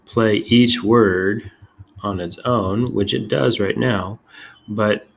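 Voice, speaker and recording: A man giving a casual software demonstration as a tech video host, halting and thinking aloud as he goes. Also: fairly low pitch, fairly even intonation, creaky.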